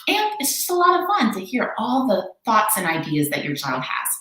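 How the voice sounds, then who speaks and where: A woman speaks as a children's librarian at storytime, expressive and emphatic. Her medium-pitched voice rises and falls in a very lively way. The room echoes heavily around her. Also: normal speed. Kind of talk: storytime read-aloud for children.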